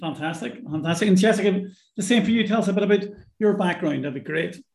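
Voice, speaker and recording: A hoarse man co-hosting a podcast, welcoming guests and often repeating his words. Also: high-pitched, fast talker, roomy sound. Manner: warm, repeats words, restarts. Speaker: podcast co-host, psychology professor. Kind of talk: podcast interview.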